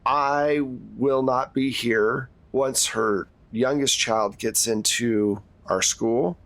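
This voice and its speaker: Tabletop game role: tired, plain-spoken school principal in their sixties played by a man, halting, gently exasperated, medium pitch. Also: fairly even intonation, creaky, full character voice. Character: weary sixty-two-year-old school principal nearing retirement, frank. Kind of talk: improvised tabletop roleplay.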